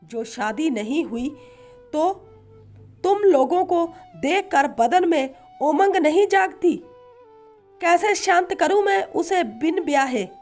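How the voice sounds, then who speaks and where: A woman voicing a brazen nineteen-year-old daughter who argues back with rhetorical questions in a narrated story. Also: high-pitched.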